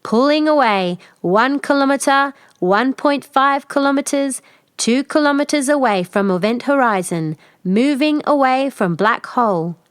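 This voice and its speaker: A high-voiced computer aboard a storybook spaceship, acted out by a woman. It makes urgent announcements to its crew. The sound is dry and close. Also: animated, measured pace, smooth, no echo. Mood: announcing. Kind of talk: picture book character voice.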